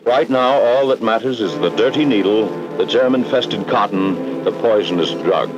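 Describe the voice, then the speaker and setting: A man's voice: on the low side, lively intonation, moderate pace, highs cut off. An American man narrating a 1960s educational film in a grave, ominous, emphatic scripted style, on a vintage film soundtrack. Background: dramatic background music.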